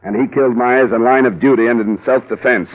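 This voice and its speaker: An actor playing a world-weary American insurance investigator in a 1950s radio drama, speaking in a clipped, sardonic way. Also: male voice, mid-range voice, brisk, natural voice. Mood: cold, pressing. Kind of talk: 1950s radio detective acting. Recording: muffled, narrow-band old radio recording.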